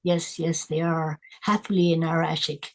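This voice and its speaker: Older irish lady chatting about nature, a bit low, rambly with lots of ums. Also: level tone, moderate pace. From Ireland. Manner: thoughtful, rambling with many ums and restarts. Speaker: guest environmentalist. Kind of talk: casual conversational interview.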